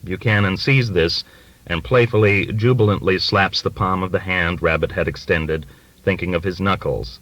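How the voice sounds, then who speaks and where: A man reading literary fiction as an audiobook narrator, with an expressive, deliberate, dramatic delivery. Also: low voice, dry recording, muffled recording.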